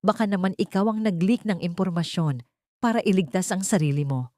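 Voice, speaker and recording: A woman's voice: fairly low pitch, fairly quick, a little mellow, no room sound, clean studio sound, lightly acted. A woman voicing a cruel, scheming older matriarch in an audio drama, scolding and commanding. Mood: scornful, angry.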